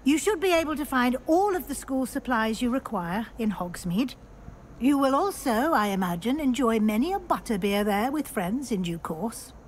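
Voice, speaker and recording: A woman playing a calm game professor who walks a new student through the school in a measured, teacherly way. her voice sits a little high for a woman. a faint hiss runs under it. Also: close to an everyday voice, poor audio. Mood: instructive. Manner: measured, instructive. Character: composed female professor guiding a new student. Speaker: game character.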